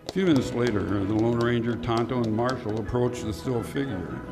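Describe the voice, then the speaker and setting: A man's voice: mid-range voice, brisk. Male radio Western narrator, music behind. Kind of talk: scripted old-time radio drama narration.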